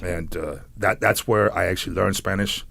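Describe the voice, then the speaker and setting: Gravelly low-voiced man from San Diego. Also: fairly even intonation, normal speed, a bit bright. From San Diego, United States. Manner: rambling, laughs between phrases. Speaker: guest. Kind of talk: casual storytelling.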